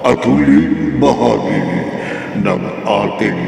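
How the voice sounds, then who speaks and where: Dramatic radio horror announcer guy, deep n swooping, huge echo. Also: lively intonation, creaky, a little mellow, a little hiss or hum. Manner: drawn-out, theatrical delivery. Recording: heavy echo, long reverb, music.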